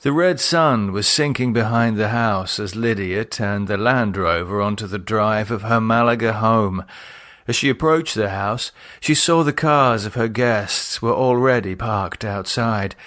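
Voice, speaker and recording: A man's voice: fairly low pitch, unhurried, a bit bright, no room sound, clean studio sound. A man giving a scripted, dramatic narration of fiction as an audiobook reader.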